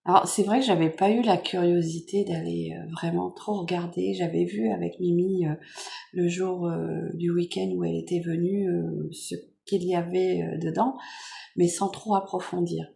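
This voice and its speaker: A French woman with a cold, hoarse and nasal, chatting softly and rambling with many fillers as she unboxes gifts at home. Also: on the low side, fairly even intonation, normal speed, a little hiss or hum. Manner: soft-spoken, meandering with many fillers. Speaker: vlogger. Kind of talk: casual chat unboxing makeup gifts.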